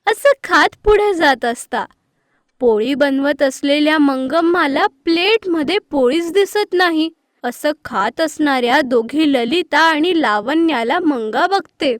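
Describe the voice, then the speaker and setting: High-pitched woman narrating a story. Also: expressive, a little slow, no echo, studio quality. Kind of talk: scripted children's story narration.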